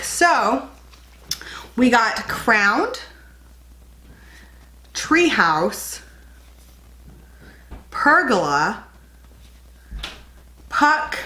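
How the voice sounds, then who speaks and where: A woman's voice: mid-range voice, fairly bright tone. Chatty young woman with a slight cold. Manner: chatty, rambling, self-correcting. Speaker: beauty vlogger. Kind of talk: casual makeup tutorial chat.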